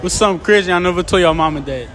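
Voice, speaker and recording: A man's voice: high voice, very fast, fairly bright tone, soft background music, some chatter behind. A young man interviewing women on a street at night, asking teasing questions in a laid-back way and stuttering now and then. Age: young adult. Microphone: handheld phone mic.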